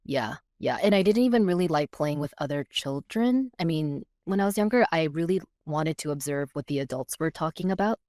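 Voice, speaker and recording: A woman's voice: sits low, expressive, fairly quick, hardly any pauses, mellow, no echo, clean studio sound. A woman in her thirties, born in Korea with Korean as her first language and raised in California, talks reflectively as a podcast guest, searching for words as she goes. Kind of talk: casual chat.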